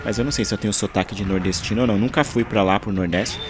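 A man's voice: lowish voice, rapid-fire, music in the background. A man talking casually over his gameplay footage, rambling and searching for words, from Brazil. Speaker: gaming channel host.

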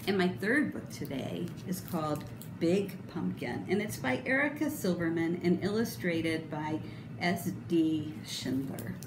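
A woman in her fifties reading aloud to children expressively, with deliberate, dramatic emphasis. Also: fairly low pitch, animated. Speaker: storybook reader. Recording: roomy, noticeable background noise.

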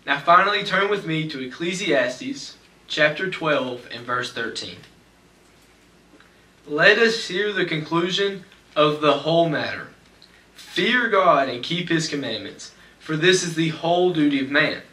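A man's voice: on the high side, lively intonation, bright, forceful tone, recorded from a distance. Young man preaching in an echoey church. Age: young adult. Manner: measured, slows for scripture reading. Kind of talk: sermon. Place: church sanctuary at a pulpit. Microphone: pulpit mic, far camera.

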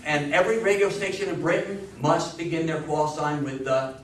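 A man's voice: on the high side, steady tone, normal speed. A man in his sixties giving a history lecture in an emphatic, folksy way, prompting his listeners as he goes. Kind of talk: animated public history lecture with audience questions. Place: small meeting room with seated audience. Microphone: distant camera microphone. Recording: echoey room, distant microphone, faint noise.

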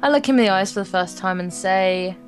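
A woman's voice: medium pitch, brisk, faint music behind. A woman with an English accent reading a visual novel aloud and commenting casually as co-host. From England. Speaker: let's play co-host.

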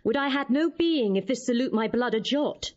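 Woman acting a high-voiced, demure young lady. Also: lively intonation, normal speed, lo-fi sound, lightly acted. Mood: troubled. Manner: earnest, demure. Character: modest, gentle young lady-in-waiting. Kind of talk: classical Shakespearean radio drama acting.

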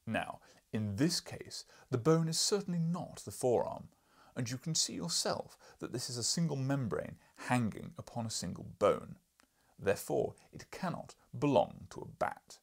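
A man's voice: mid-range voice, lively intonation, normal speed, gravelly, voice pushed up. A man voicing an imperious, irascible older professor and explorer in an audiobook, lecturing pompously in a declamatory way. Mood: severe, lecturing. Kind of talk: audiobook character reading.

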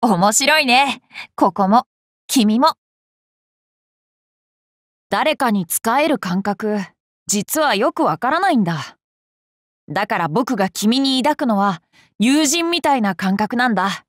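A young boy king from an anime game, high and very animated in pitch. He is cheerful and curious, with a polite, playfully confident way of talking. The sound is close and dry. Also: slow, pauses often, dry recording. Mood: friendly, easygoing. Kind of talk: Japanese anime game character lines.

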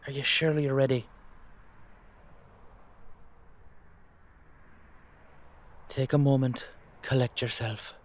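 A man's voice: mid-range voice, fairly even intonation, brisk, close to an everyday voice. A man playing a protective, brotherly dullahan with an Irish accent, reassuring and colloquial in an audio drama. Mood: quiet, grave.

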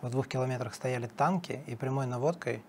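A man's voice: medium pitch, brisk, a little hiss or hum. A middle-aged man, a political analyst guest in a TV studio interview, talking emphatically with fillers and restarts, on a lapel mic.

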